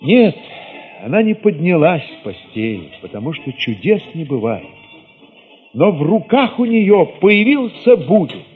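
A man narrating an audio drama in the first person, expressive and solemn, with weighty pauses. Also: on the high side, long reverb tail, phone-quality sound, soft background music.

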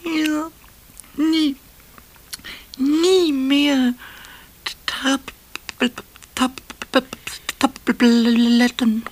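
A man playing a cheeky, whiny little kobold in a children's radio play, with a squeaky, plaintive delivery. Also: little pitch movement, a little hiss or hum, exaggerated voice. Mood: weak, sorry. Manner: drawn-out, plaintive. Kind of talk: 1970s German children's radio play acting.

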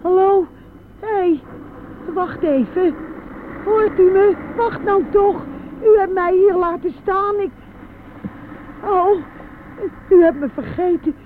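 An actress in a radio play, playing a frail, timid and anxious elderly woman who stammers, pleads and repeats her words in a husky, breathy voice. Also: very high-pitched, slow, pauses often, background noise. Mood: panicked calling. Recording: muffled, narrow-band old radio play.

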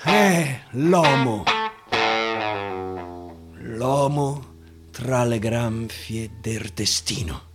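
A man's voice: mid-range voice, very slow, pauses often. A man with a Roman accent gives a theatrical recitation of dialect verse, dramatically repeating phrases for emphasis. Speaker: stage actor and storyteller. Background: acoustic guitar.

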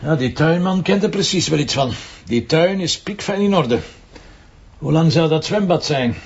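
A sardonic Flemish police commissioner, with a husky voice. He talks bluntly and drily. The sound is muffled and lo-fi, like an old TV soundtrack. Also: on the high side, lively intonation, moderate pace. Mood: investigating. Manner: dry, sardonic remarks. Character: blunt, wry middle-aged male police commissioner. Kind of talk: TV crime drama acting. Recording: dull lo-fi TV soundtrack.

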